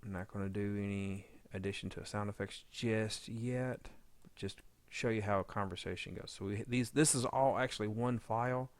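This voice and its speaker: A man's voice: fairly low pitch, fairly even intonation, moderate pace, no room sound. A man giving a casual, unscripted editing tutorial, meandering as he thinks aloud. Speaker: audio drama editor giving a demo.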